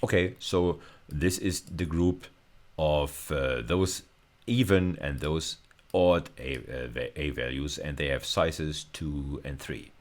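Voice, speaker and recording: Very low-voiced man giving a technical lecture. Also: slow pace. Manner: hesitant, explanatory, repeats and rephrases phrases. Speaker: university lecturer. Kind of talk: informal technical screencast lecture.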